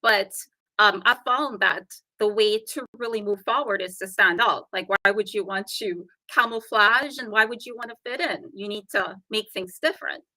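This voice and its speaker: A woman with a softened Trinidadian accent, a guest talking earnestly and explaining her ideas in a casual interview chat. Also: mid-range voice, moderate pace, dry recording, poor audio.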